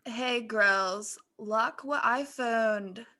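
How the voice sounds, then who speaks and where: A goth teenage girl played by a woman in a parody fan fiction. Her voice is bright and fairly high, and she draws out her lines slowly. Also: exaggerated voice. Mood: eager.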